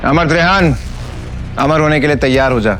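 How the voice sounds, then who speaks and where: Casting: male actor as scornful, unyielding ex-husband for audio series; fairly high male voice, taunting, light music underneath. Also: moderate pace, fairly bright tone, natural voice. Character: defiant, scornful ex-husband, adult man. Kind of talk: Hindi audio series acting.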